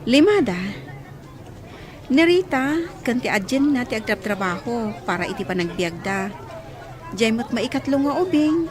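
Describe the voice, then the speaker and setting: A woman's voice: fairly high pitch, sing-song, normal speed, pauses often, a crowd in the background. A woman acting a frank young village girl in an Ilocano radio drama. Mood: earnest, explaining.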